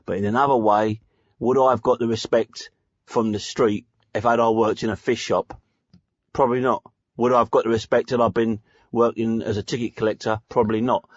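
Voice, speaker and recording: An actor playing a menacing, matter-of-fact ex-convict with a London accent and blunt slangy talk on a spoof radio phone-in. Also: male voice, mid-range voice, flat delivery, a little fast, no echo, close to an everyday voice. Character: menacing ex-convict gangster guest, matter-of-fact about killing.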